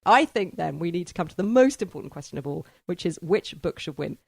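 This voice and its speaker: A woman's voice: lowish voice, lively intonation, brisk, creaky, over loud music. A woman hosting a book discussion podcast, chatting in a thoughtful, conversational way. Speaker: podcast host.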